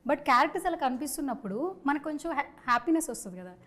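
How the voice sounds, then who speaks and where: A woman interviewer. She talks fast and chattily, dropping English words into a casual promotional conversation. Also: high-pitched, lively intonation, a bit bright. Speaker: interviewer, host. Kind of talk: casual film promotion chat.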